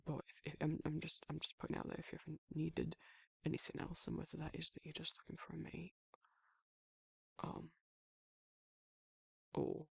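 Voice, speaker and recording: Gravelly, bashful British werewolf played by a man. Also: fairly high pitch, monotone, no room sound, studio quality, heavily acted. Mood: nervous, bashful. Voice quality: gravelly, husky, breathy. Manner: flustered, halting, stammering, trailing off. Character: protective, awkward, bashful male werewolf confessing affection. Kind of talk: intimate ASMR romantic roleplay.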